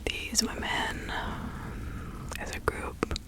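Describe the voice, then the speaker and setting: A woman playing a reassuring seventeenth-century witch counselling a client in an ASMR role-play, whispering in a halting, ritual manner. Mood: solemn, ritualistic.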